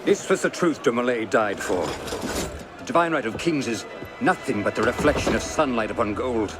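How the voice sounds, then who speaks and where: A man playing a cold, calculating conspiracy leader in a video game, speaking in a measured, grandiose, philosophizing way. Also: mid-range voice, expressive, noisy background, lightly acted. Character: cold, calculating male Templar mastermind, smug conspirator. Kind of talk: scripted video game acting.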